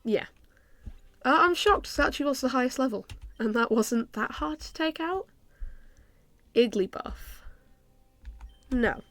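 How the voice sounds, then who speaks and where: A young woman with a stuffy nose from a cold, casually commenting on her game and thinking aloud with many ums and soft laughs. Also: fairly high pitch, dry recording. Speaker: gaming YouTuber.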